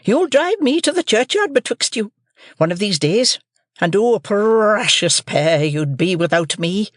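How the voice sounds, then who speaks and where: A man voicing a harsh, scolding older sister in a storybook reading, loud and nagging. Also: high-pitched, very animated, measured pace, dry recording, clean studio sound, voice pushed up. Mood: scornful. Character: shrill scolding sister, harsh tempered woman.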